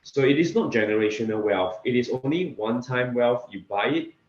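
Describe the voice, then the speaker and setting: A roomy, slightly muffled recording of a man with a Singaporean accent and a medium-pitched voice. He speaks casually as an interview guest, haltingly, doubling back on words as he explains things. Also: expressive. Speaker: interview guest, real estate investor. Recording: echoey room, slightly dull sound.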